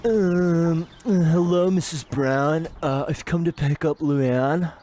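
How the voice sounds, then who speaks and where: Man playing a slow-talking, awkward teenage boy. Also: a little high, warm tone, slight background noise. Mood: nervous. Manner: hesitant, gee-whiz, halting. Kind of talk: old-time radio comedy play acting.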